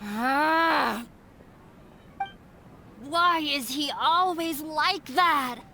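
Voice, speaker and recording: An actress playing a defiant, bitter daughter in a video game, forceful and accusing. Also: female voice, high-pitched, drawn-out words, a little hiss or hum, full character voice. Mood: exasperated. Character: defiant, bitter grown daughter confronting her father.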